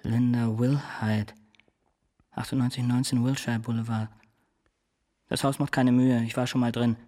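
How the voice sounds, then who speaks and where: A man's voice: mid-range voice, flat delivery, a little slow, mellow, close to an everyday voice. Man as terse, obedient killer henchman. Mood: obedient, quiet. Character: obedient male killer henchman, dark, quiet, devoted. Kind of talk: German radio crime drama acting.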